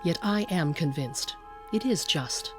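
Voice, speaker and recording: Low-voiced, resolute wife and mother. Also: lively intonation, measured pace. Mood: composed, resolute. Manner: formal, composed, firm. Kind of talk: radio drama acting. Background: faint music.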